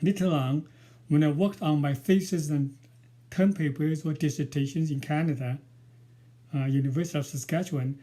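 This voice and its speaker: Slow, hesitant Chinese-accented man in his sixties. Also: on the high side, dark tone. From China. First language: Mandarin. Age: 60s. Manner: hesitant and careful, with frequent pauses and fillers. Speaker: author. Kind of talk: self-introduction before a book reading.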